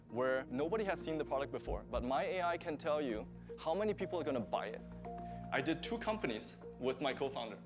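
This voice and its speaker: A man in his thirties from Germany with a German accent, pitching his startup to investors in an earnest, explanatory way. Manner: earnest, explanatory, steady delivery.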